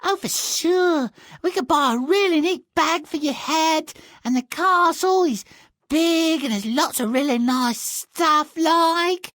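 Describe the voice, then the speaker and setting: A man's voice: very high voice, slow pace, a bit bright, dry recording, studio quality, full character voice. A man voicing a flighty, materialistic young fairy-tale heroine in a comic game, with breezy, self-absorbed valley-girl talk. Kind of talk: comic video game cutscene acting.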